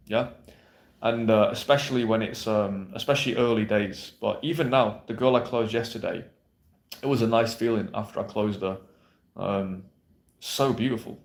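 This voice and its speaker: A man's voice: lowish voice, vocal fry. A man coaching viewers on dating in a blunt, brash, emphatic way, swearing freely and checking in with "yeah?". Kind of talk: direct-to-camera coaching monologue. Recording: roomy echo.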